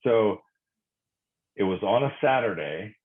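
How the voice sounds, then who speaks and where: A middle-aged man from Korea reflecting in conversation, speaking thoughtfully with many pauses and restarts. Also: fairly low pitch, expressive. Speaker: podcast guest. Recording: phone-like narrow sound.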